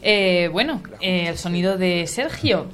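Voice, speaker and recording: A woman hosting a casual podcast chat, easygoing and quick to laugh. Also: fairly low pitch, animated, faint music behind. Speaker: podcast host.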